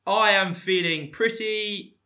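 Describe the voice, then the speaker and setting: A young British man with a cold, co-hosting a podcast, chatting with animated, swearing banter. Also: sits high, slow pace. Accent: British English. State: blocked-up with a cold. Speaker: podcast co-host, personal trainer. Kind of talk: casual chat.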